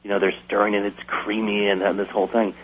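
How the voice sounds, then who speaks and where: Gravelly low-voiced American man. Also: flat delivery, quick pace. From Wisconsin, United States. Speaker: chef, interview guest. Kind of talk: casual interview storytelling. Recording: dull lo-fi radio sound, light hum.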